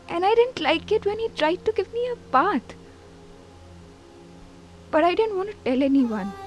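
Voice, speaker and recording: A woman's voice: very high voice, poor audio. A female actor playing a timid, confused little girl with an Indian accent, speaking simply and hesitantly in a film. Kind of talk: dramatic monologue in a short film.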